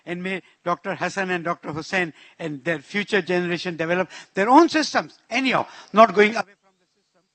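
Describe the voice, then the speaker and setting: A man with a Pakistani accent whose first language is Urdu, giving an emphatic conference speech and slowing down to stress his key points. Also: high voice, fairly bright tone, no echo. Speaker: conference speaker.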